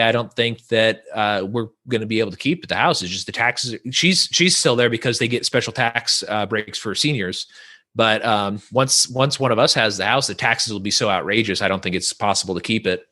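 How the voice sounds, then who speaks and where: A man's voice: fairly low pitch, normal speed, sharp tone. A man from Northern California, a guest in a casual podcast conversation, talking in a rambling way with many restarts and fillers.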